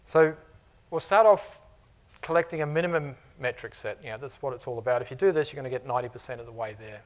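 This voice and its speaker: A middle-aged man with a New Zealand accent tinged with Australian, presenting at a conference in a loose, conversational way. He fills his sentences with "you know" and "uh", and his pitch sits in a middle range. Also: fast talker. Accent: New Zealand, mixed with Australian. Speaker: technology architect presenting to customers. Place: conference room before a live audience.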